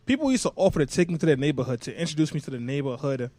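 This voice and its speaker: A man's voice: on the high side, very animated, very fast, darkish tone, no room sound. A young man from New York, co-hosting a podcast and telling candid personal stories in casual chat, swearing freely. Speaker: podcast co-host.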